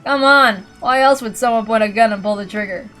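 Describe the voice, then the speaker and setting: A woman voicing a villainous uncle in a visual novel, casual and calm, with a mockingly kind manner. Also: on the high side, brisk, faint music behind, lightly acted. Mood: flippant, calm. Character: cold, joking, villainous uncle with a gun. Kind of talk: dramatic visual novel character reading.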